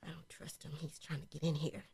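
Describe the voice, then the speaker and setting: A woman's voice: deep voice, little pitch movement, brisk, exaggerated voice. A woman acting a distraught, stubborn, frightened woman in an audio horror drama, stammering and repeating herself. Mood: hushed, paranoid. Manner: stammering, repetitive, swinging between shouts and whispers. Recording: clearly noisy background.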